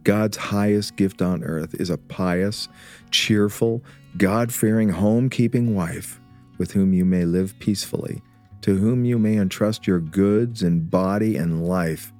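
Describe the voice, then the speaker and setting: A man playing a warm, teasing, scholarly husband and father in a radio drama, with a measured, preacherly way of explaining. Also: low-pitched, faint music behind. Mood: earnest, instructive. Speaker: actor in an audio drama.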